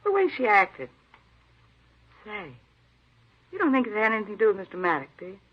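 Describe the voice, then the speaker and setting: An actress playing an opinionated, slightly defensive store employee in a 1950s radio drama, talking in an emphatic, colloquial way. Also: female voice, medium pitch, sing-song, a little fast, breathy edge. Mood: suspicious, insistent. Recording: dull old-time radio recording.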